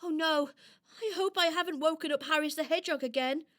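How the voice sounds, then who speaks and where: A woman as an eager, high-voiced little toy doll girl. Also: brisk, noisy background, natural voice. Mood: anxious. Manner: earnest, exclaiming, hesitant. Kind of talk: children's radio play acting.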